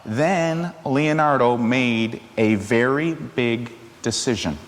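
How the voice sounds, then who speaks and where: Unhurried man reading aloud in a reverberant hall. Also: mid-range voice. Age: middle-aged. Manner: unhurried, playful, chatty asides. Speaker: minister reading a picture book to children. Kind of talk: animated read-aloud story time with asides to children. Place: large church hall, seated among children. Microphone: lavalier clipped to shirt. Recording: reverberant hall, faint background noise.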